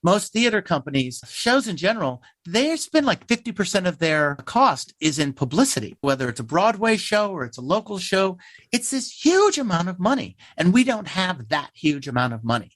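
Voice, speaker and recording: A man's voice: sits high, very animated, moderate pace, fairly bright tone, dry recording. An American man, a theater playwright, answering interview questions in an earnest, emphatic, talkative conversational way.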